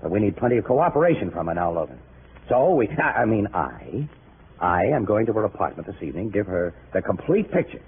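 A scratchy, narrow-band vintage radio recording carries a cocky American newshound with a lowish voice. He schemes in pushy slang with swinging, animated pitch, always sure of himself. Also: fairly quick. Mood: insistent. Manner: slangy, pushy, self-assured. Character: brash, cocky, fast-scheming crime photographer man. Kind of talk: old-time radio crime drama acting. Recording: scratchy old radio broadcast, thin phone-like band.

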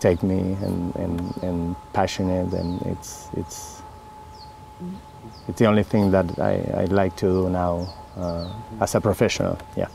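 A middle-aged Chilean man with a gravelly, fairly low voice and a Chilean Spanish accent. He talks very slowly and earnestly, stopping often on fillers and restarting phrases. Faint background noise sits under the recording. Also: little pitch movement, mellow. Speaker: winemaker interviewee. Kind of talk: oral history interview.

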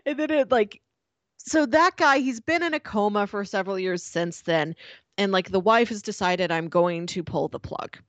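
A woman's voice: medium pitch, normal speed, no echo. Casual woman podcaster in a dry room. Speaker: podcast co-host reviewing TV. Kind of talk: casual chat.

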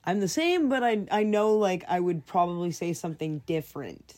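A young woman with a low, mellow voice, whose first language is French. She chats casually as a guest, hesitant and full of "like" and "um". She often breaks into small laughs. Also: moderate pace. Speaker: podcast guest. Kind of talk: casual conversation.

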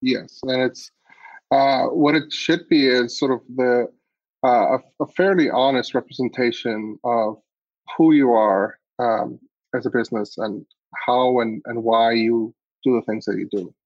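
A man's voice: medium pitch, steady tone, a little slow, dry recording, highs cut off. A man from Iceland answering interview questions as a guest, picking his words hesitantly and thoughtfully. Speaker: podcast guest, agency CEO.

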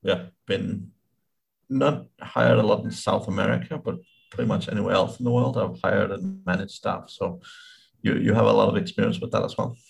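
A man's voice: lowish voice, steady tone, moderate pace, vocal fry, low-quality recording. A man speaking as an interviewer in a casual conversation, hesitant and often restarting his sentences.

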